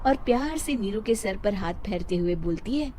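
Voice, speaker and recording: Woman narrating a story over clear noise. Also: medium pitch, expressive, normal speed, dark tone.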